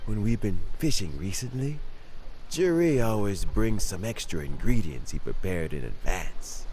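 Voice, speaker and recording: Kindly elderly game mentor, reflective and unhurried, hugely expressive intonation over faint ambience. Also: male voice, on the low side, a little hiss or hum. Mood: fond. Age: older. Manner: unhurried, wistful, chuckling, with thoughtful pauses. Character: kindly, reflective aging male mentor who loves fishing. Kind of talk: scripted video game dialogue.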